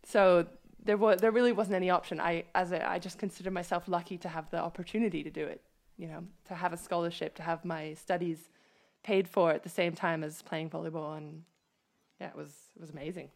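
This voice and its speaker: Gravelly-voiced woman co-hosting. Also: fairly low pitch, fairly even intonation, brisk, a little mellow. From USA. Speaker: podcast co-host. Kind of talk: casual chat. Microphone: video call mic.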